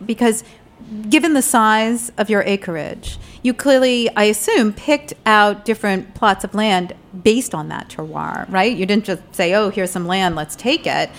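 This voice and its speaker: A woman's voice: medium pitch, a little slow. A woman with a New Jersey American accent, hosting an interview in a casual, conversational way. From New Jersey, United States. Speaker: interview host.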